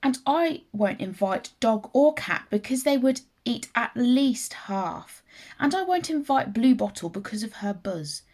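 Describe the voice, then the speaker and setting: A woman's voice: a little high, animated, measured pace, darkish tone, voice pushed up. A woman voicing a sly, greedy, self-satisfied male fox in a children's fable, mock-polite and relishing every word. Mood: selfish, scheming.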